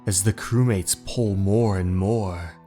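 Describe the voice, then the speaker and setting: Slow, low-voiced male horror narrator. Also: steady tone, mellow. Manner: measured, dramatic storytelling. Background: faint music.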